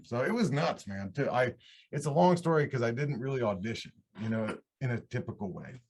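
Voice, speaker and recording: A man's voice: medium pitch, fairly quick, breathy edge. A man in his thirties from upstate New York, a country singer telling his story in a casual, rambling interview. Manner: rambling, relaxed, restarts mid-sentence. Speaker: country singer-songwriter guest.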